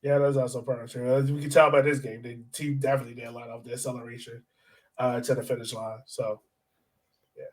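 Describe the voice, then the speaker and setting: A man's voice: mid-range voice, flat delivery, quick pace. A man co-hosting a sports podcast, chatting casually in informal, slangy language and often turning to his co-host.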